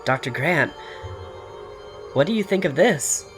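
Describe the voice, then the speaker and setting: A woman's voice: low voice, lively intonation, a little slow, music in the background. A woman playing a curious, observant young boy in a fan audio drama.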